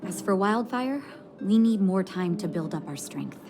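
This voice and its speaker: An actress voicing a calm, caring leader of an underground resistance in a video game, measured and gracious. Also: female voice, medium pitch. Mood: grave, resolute. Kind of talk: video game cutscene dialogue. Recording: music playing behind the voice.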